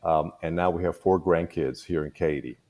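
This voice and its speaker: A man in his sixties, born in Cuba, talking about himself as a school board candidate in a relaxed way, with many pauses and fillers. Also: sits low. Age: 60s. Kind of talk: casual panel chat.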